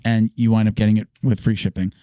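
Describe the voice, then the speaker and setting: Slightly hoarse male podcast host, dry sound. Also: lowish voice, flat delivery, mellow, no echo. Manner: halting, restarts his read. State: hoarse, losing his voice. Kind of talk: casual sponsor read and banter.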